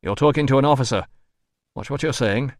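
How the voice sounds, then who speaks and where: A man's voice: mid-range voice, brisk, dry recording, clean studio sound, close to an everyday voice. A man voicing an insistent army doctor in an audiobook, with a clipped, authoritative manner. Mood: firm, irritated. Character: urgent, commanding male army doctor. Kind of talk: audiobook character voice.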